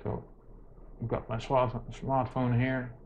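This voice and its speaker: Halting man on noisy, dull audio. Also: medium pitch, monotone. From Netherlands. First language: Dutch. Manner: hesitant, halting delivery with very frequent filler sounds. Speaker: tech tutorial presenter. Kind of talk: unscripted how-to demonstration. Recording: dull lo-fi camera audio, clear noise.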